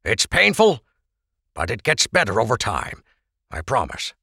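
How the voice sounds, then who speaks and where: A gruff, fussing barman grumbles and nags at his old friend as a man voices him. The voice is gravelly and husky, lifted above the narration, and it swings up and down a lot. It sounds bright and dry. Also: fairly low pitch, huge pitch swings, measured pace, dry recording, pitched above the performer's own voice, exaggerated voice. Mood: reassuring. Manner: grumbling, nagging.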